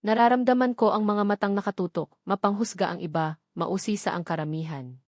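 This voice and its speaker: A woman's voice: medium pitch, a little fast, no echo, clean studio sound. A woman narrating a scripted, first-person dramatic love story as a storyteller.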